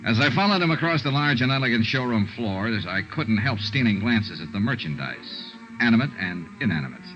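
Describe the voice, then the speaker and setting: An actor playing a wry, breezy American insurance investigator in a 1950s radio drama, with a brisk, clipped delivery. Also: male voice, mid-range voice, very animated, over music, natural voice. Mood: brisk, matter-of-fact. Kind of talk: 1950s radio detective drama, first-person narration. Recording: dull old 1950s radio recording.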